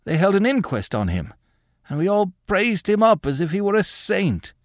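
A rambling old gentleman in a storybook reading, his voice sharp and strangely unnatural, lurching between excited outbursts. The voice sits high and swings widely in pitch. It is recorded close and dry. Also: normal speed, no echo. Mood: wild, excited.

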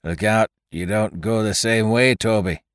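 Man doing a tipsy rustic pirate gunner, raised pitch. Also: unhurried, dry recording, clean studio sound, close to an everyday voice. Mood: tipsy warning. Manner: tipsy, rustic archaic dialect. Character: drunken pirate gunner, teasing and warning. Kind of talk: adventure audiobook character voice.

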